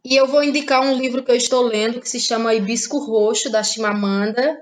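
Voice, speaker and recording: Relaxed woman. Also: on the high side. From Ceará, Brazil. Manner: relaxed, laughs often, trailing pauses. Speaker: guest playwright. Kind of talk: casual interview chat.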